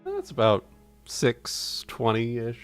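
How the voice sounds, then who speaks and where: A man's voice: mid-range voice, wildly swooping pitch, slow, faint music behind. A man taking part in a tabletop roleplaying session, chatting casually. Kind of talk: casual game chat.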